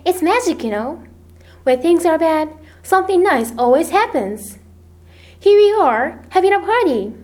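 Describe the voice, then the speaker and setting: A woman voicing a gentle, soft-spoken poor young girl, weary yet hopeful, in a told story. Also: high-pitched, animated, normal speed. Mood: hopeful, grateful.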